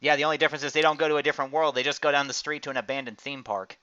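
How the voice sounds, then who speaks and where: A man's voice: a little high, steady tone, racing, sharp tone, clean tone. A man hosting a casual group chat, explaining his opinions in a rambling way. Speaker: podcast host. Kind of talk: casual group chat about anime and card games. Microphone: online group voice call. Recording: dull, lo-fi voice chat.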